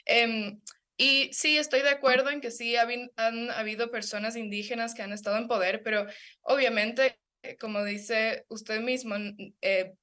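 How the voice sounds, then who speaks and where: A woman's voice: on the high side, fairly even intonation, normal speed, pushed and bright. Passionate young woman activist. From Ecuador. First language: Kichwa. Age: young adult. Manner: earnest and passionate, with frequent fillers and restarts. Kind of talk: interview answer, advocacy.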